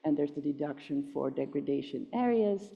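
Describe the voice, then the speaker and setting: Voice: mid-range voice, animated, moderate pace, creaky, breathy edge. A presenter walks through slides at a conference in a casual, hesitant way. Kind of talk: presentation walkthrough. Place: large conference hall. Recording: muffled conference hall reverb.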